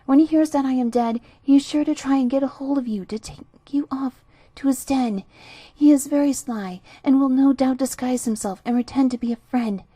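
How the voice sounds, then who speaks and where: A woman's voice: sits high, normal speed, warm tone, slightly rough, no echo, voice pushed up. A woman voicing an old, worried mother pig. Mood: pleading. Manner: earnest and warning. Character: old, feeble mother pig, anxious and fond.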